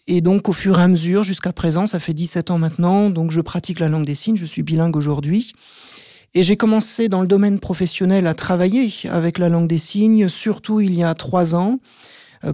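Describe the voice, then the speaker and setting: Calm teacher guy, dark mellow voice, dry close sound, explains slowly with lots of euh. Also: high-pitched, dry recording. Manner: measured, explains step by step with frequent "euh" pauses. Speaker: maths teacher, podcast guest. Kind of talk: explanatory interview answers.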